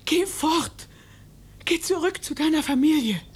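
An actress playing a stubborn, sorrowful, reclusive farm woman in a radio drama, with a husky, terse delivery. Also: high voice, lively intonation, unhurried. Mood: guarded, dismissive. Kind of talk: German radio drama acting.

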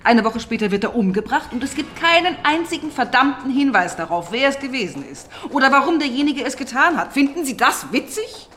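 An actress playing a sharp-tongued, impatient police inspector who narrates a radio crime drama in a brusque, sardonic manner. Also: female voice, high voice, big pitch swings, a little fast, few pauses, slight background noise. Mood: angry, brusque. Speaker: narrator and lead character.

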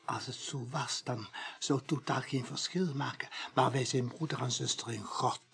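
Zealous priest, very husky, gravelly creak, halting, preachy, radio drama. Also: male voice, mid-range voice, fairly even intonation, fairly bright tone. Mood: pious, earnest. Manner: halting, repeats words, turning vehement and preachy. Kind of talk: 1980s radio play acting.

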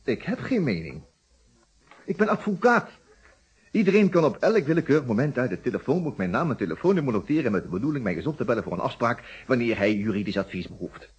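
An actor playing a proud, pedantic Dutch lawyer in a radio play, sparring indignantly as a suspect, with a husky voice. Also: male voice, medium pitch, big pitch swings, quick pace. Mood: defensive. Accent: Netherlands Dutch. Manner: pedantic, challenging, theatrical. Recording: dull old radio play recording.

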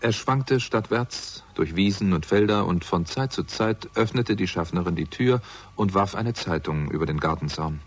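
A man's voice: low-pitched, normal speed. A man playing a world-weary investigator who narrates his own case in a radio crime drama, dry and literary. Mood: wry, reflective. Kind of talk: scripted first-person radio drama narration.

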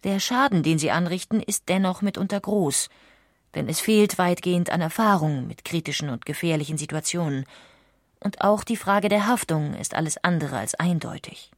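A woman's voice: on the low side, moderate pace, no room sound, clean studio sound. A woman reading scripted narration in the manner of a documentary audiobook.

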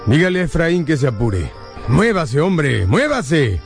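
A man's voice: on the high side, very animated, a little slow, vocal fry, soft background music, heavily acted. An actor playing a stern middle-aged father and landowner in a radio drama, formal and imperious. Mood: delirious, agitated.

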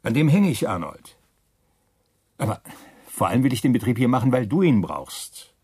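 A man's voice: mid-range voice, sing-song, normal speed, dark tone, husky, dry recording. An actor playing a pushy, persuasive male wartime schemer in a German radio play, chummy with a veiled threat. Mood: chummy, insistent.

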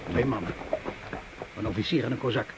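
A man's voice: on the low side, fairly quick, lightly acted. An actor playing an alert, dutiful male soldier of a partisan band in a radio drama, reporting emphatically. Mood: watchful. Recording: hissy old radio play recording.

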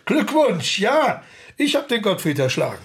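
Gravelly, animated, irascible baroque composer. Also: male voice, high voice, moderate pace, heavily acted. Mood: sardonic, indignant. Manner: sardonic, commanding, theatrical. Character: proud, irascible 18th-century composer and music master. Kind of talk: German period radio drama acting.

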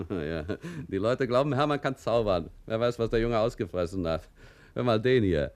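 An actor playing a jovial but self-protective mailroom clerk in a wartime radio drama, with a chatty, colloquial, cajoling manner. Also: male voice, lowish voice, expressive, moderate pace, no room sound, lightly acted. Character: jovial, self-protective male Nazi-era Berlin mailroom clerk, family man.

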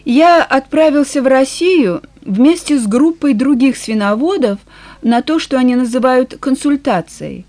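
A woman voicing a weary, frank male farmer nearing sixty in an audiobook, plain-spoken and measured. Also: high voice, expressive, darkish tone. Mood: weary, calm. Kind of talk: audiobook character dialogue.

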